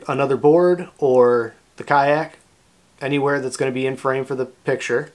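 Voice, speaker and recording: A man in his thirties with a North American accent, hosting a product demonstration in a plain-spoken, informal, explanatory way. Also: fairly high pitch. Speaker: host of a kayak fishing channel. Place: small indoor workshop room.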